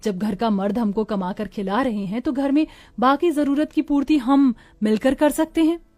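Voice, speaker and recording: Cartoon young daughter-in-law, played by a woman: high, hopeful, determined and reassuring. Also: moderate pace, mellow, dry recording, natural voice. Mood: earnest, determined. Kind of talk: cartoon moral story acting.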